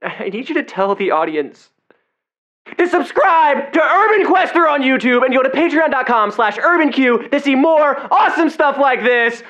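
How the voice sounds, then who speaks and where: An actor playing a cocky, flippant young male YouTube investigator in an audio drama, excitable and hesitant when challenged. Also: very high-pitched, moderate pace. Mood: hyped, gloating. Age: young adult.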